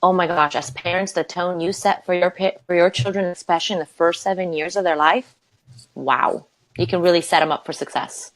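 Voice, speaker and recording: A woman's voice: lowish voice, a little fast, low-quality recording. A woman from Romania, Romanian her first language, speaking as an interview guest over a video call, bubbly and giggly. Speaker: leadership coach guest. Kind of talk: casual interview chat.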